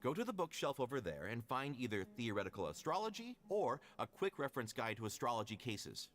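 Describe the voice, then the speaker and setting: Helpful scholar, lively intonation. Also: male voice, mid-range voice, brisk. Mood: instructive. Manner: explanatory, articulate. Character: helpful, matter-of-fact male scholar in fantasy game. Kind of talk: video game character dialogue.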